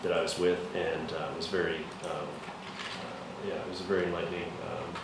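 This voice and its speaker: A man councillor giving a halting report at a meeting, full of ums and restarts. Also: sits low, level tone. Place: council chamber. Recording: echoey council chamber, far mic, noisy.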